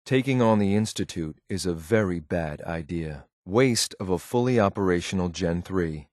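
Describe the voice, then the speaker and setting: A cold, dutiful male synth agent from a video game in a low-pitched voice. The delivery is clipped, formal and deadpan, measured throughout. The recording is very dry and close. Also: dry recording. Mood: stern, detached. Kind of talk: scripted video game companion lines.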